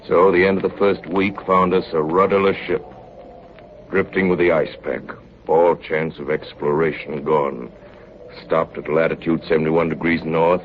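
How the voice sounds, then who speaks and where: An actor playing a ship's chief engineer, gravely narrating a doomed Arctic expedition in a 1930s radio drama. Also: male voice, sits low. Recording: muffled, noisy 1938 radio broadcast recording.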